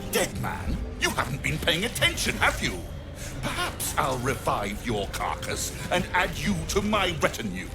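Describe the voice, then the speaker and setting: An actor playing a vain, menacing necromancer villain in a video game, speaking in a theatrical, condescending, drawn-out way. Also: male voice, fairly high pitch, huge pitch swings, heavily acted. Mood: threatening, mocking. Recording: loud music behind the voice. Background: game soundtrack music.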